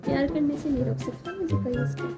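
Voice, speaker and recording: Young mother cooing to her baby, high voice. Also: quick pace, loud music behind the voice. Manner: cooing and baby-talking in a sing-song way. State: slightly hoarse from a cold. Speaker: family vlogger. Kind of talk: casual vlog talk to camera, playing with her baby. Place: small room at home, indoors. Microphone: phone camera held close.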